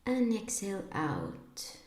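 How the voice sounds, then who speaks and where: A woman leading a scripted guided meditation in a calm, deliberate, instructing manner. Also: mid-range voice, echoey room.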